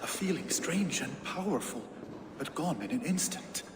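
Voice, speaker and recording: A male actor playing a world-weary, brooding Viking warlord in a video game, with a grave, deliberate delivery. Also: on the high side, animated, natural voice. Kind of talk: dramatic video game acting. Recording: echoey reverberant space, loud background noise.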